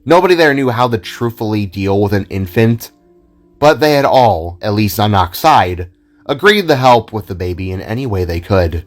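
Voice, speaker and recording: A man narrating a scripted audio drama in a measured, theatrical storytelling manner. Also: fairly low pitch, animated, faint music behind. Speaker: narrator and reader of all parts.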